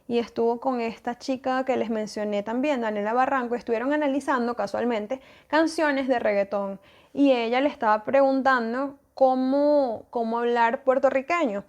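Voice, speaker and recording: A woman's voice: fairly high pitch, fairly even intonation, normal speed, dull audio. Chatty Venezuelan woman podcaster. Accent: Venezuelan Spanish. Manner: thoughtful, with many fillers and restarts. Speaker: podcast host. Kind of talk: casual solo podcast talk.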